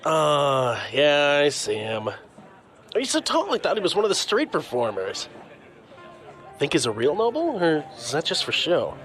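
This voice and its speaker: A man's voice: a little high, wildly swooping pitch, measured pace, fairly bright tone, heavily acted. An actor playing an easygoing, teasing male sidekick with a playful manner.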